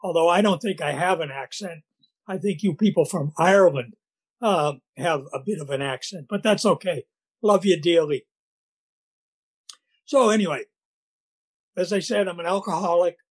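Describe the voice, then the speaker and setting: A man's voice: high-pitched, breathy edge. Scratchy-voiced older Boston man. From Massachusetts, USA. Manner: halting, with many fillers and restarts. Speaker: speaker at a recovery meeting. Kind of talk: personal recovery story.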